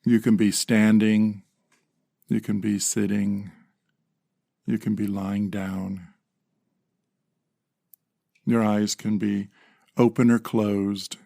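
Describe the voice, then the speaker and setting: A man calmly leading a guided meditation in measured phrases with long pauses. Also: lowish voice, creaky, no room sound, clean studio sound.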